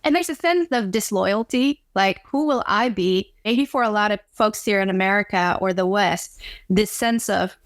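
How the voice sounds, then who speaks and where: Indonesian-born woman, earnest and expressive. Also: mid-range voice, normal speed, no echo, studio quality. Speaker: psychologist, guest. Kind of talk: conversational interview. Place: home office.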